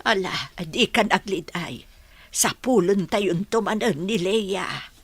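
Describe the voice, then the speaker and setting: Slow, husky old Ilocano wife played by an actress. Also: female voice, mid-range voice, dry recording. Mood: consoling. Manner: slow, consoling. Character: consoling, devoted old village wife. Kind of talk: Ilocano radio drama acting.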